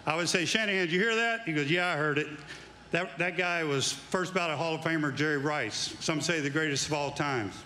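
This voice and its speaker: A man's voice: on the high side, brisk, pushed and bright, roomy sound, a little hiss or hum. Man telling coaching stories on stage. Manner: folksy storytelling, building to emphatic tribute. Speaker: former coach presenting an award. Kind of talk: induction speech with stories. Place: outdoor stage at an evening awards event. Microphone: handheld mic.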